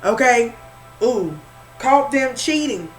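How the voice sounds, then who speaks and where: A woman's voice: a little high, animated, slow pace, faint music behind. A woman with a Southern accent doing an informal tarot reading, repeating herself and calling the listener honey. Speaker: spiritual reader.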